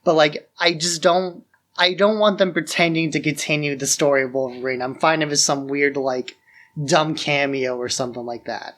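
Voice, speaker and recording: A man's voice: fairly high pitch, fairly even intonation, normal speed, a bit bright. A man co-hosting a podcast in casual, informal conversation, sharing firm opinions. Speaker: podcast co-host. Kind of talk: casual film discussion chat.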